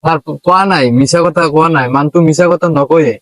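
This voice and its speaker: A man's voice: fairly high pitch, fairly even intonation, quick pace, no room sound. A man talking in a casual comic back-and-forth, often prompting and interjecting like a prankster. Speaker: prankster relaying and prompting during a phone prank.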